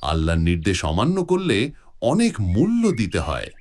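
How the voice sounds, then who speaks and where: A man's voice: on the low side, normal speed. A man voicing a kindly old grandfather who tells moral stories expressively in a children's cartoon. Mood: suspenseful storytelling. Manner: expressive, instructive.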